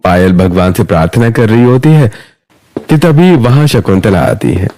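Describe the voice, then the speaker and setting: A man's voice: lowish voice, big pitch swings, moderate pace, dark tone. A man narrating a scripted moral story.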